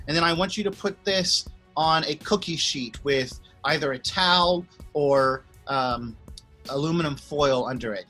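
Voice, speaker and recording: A priest telling a Bible story to children in an expressive, friendly way, addressing them as friends. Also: male voice, fairly high pitch.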